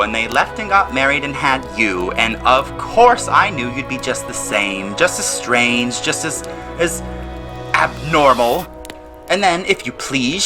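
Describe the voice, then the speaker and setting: A man plays a spiteful middle-aged aunt in an audio drama. The voice is pushed high and shrill, swooping as it rants with resentment. Music plays behind it. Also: poor audio. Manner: emphatic, spiteful, ranting.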